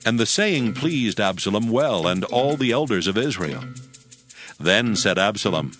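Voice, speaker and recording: Deep-ish guy reading scripture steady, soft music under. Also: unhurried. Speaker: narrator. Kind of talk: scripture narration. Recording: faint music bed.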